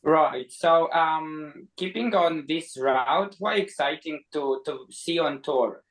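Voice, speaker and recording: A man with a fairly high voice co-hosts an interview show. He chats casually and a little haltingly, feeling for his words as he puts his questions. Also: a bit bright, rough recording. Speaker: podcast co-host, interviewer.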